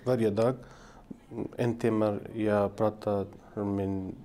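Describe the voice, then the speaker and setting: A man from Pakistan who is an adult language student, giving slow, halting answers with many fillers. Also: lowish voice, level tone, warm tone.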